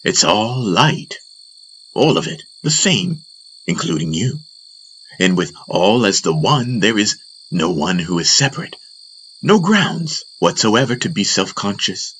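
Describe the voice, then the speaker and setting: A man's voice: lowish voice, sing-song, measured pace, fairly bright tone, slight background noise, low-quality recording. A man voicing a serene, playful spiritual master who teaches emphatically in a fantasy audiobook. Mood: earnest, emphatic. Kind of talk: audiobook character acting.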